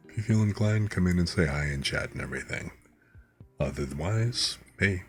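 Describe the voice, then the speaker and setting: A hoarse man hosting a live stream, chatting in a relaxed, rambling, soft-spoken way. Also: sits low, moderate pace, faint music behind. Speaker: streamer host. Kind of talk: laid-back stream chat.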